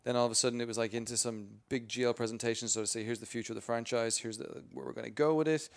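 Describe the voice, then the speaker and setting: Brief: booming male spokesperson, gravelly edge, rambly and upbeat, mid pitch. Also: racing. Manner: energetic, rambling, many restarts. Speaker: game franchise spokesperson. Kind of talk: casual interview answers.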